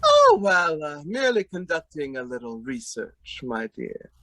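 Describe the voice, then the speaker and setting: An actor playing a sloppy, fawning drunk husband in a comic old-time radio play, with slurred, grandiose speech. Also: male voice, a little high, huge pitch swings, unhurried, sharp tone, no echo, heavily acted. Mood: fawning, pompous.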